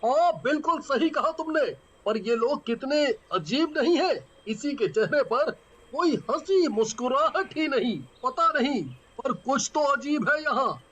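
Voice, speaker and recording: A man voicing an excitable young traveller in a cartoon story, with exclamatory delivery. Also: extremely high pitch, unhurried, dull audio, heavily acted. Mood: puzzled. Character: excitable young male friends on a night journey.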